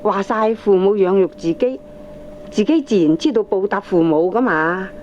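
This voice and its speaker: A woman's voice: mid-range voice, normal speed, a little mellow, close to an everyday voice. Woman playing preachy Hong Kong aunt, muffled recording. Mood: preachy, assured. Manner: lecturing, emphatic. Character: opinionated aunt, firm, preachy family adviser. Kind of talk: Cantonese radio drama acting. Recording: dull, slightly noisy old cassette recording.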